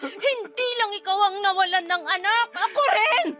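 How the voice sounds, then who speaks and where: A woman's voice: extremely high pitch, moderate pace, sharp tone, faint music behind. A woman with a Filipino accent playing a grieving, defensive wife and mother, tearful and indignant in a radio drama. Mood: hurt, defensive.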